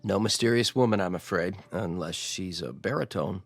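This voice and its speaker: An actor playing a sarcastic, possessive young banker fiancé in a radio drama, with a wry delivery. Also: male voice, deep voice, normal speed, soft background music. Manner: wry, sardonic.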